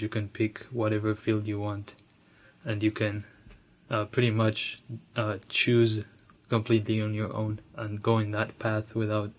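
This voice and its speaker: A young man from Ethiopia telling his own story, soft-spoken and reflective, with frequent restarts and light laughs. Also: fairly low pitch, slight background noise. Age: young adult. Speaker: interviewee sharing his immigrant story. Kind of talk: personal storytelling.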